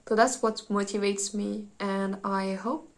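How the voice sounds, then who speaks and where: A woman's voice: mid-range voice, little pitch movement, unhurried. A young woman from France with a French accent, a psychology PhD student speaking enthusiastically in a prepared talk to camera, with small grammar slips.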